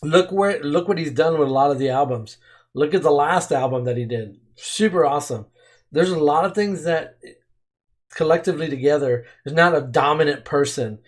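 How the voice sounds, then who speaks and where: A man's voice: a little high, moderate pace. A man hosting a reaction video, talking casually and with enthusiasm, repeating words for emphasis. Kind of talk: casual commentary.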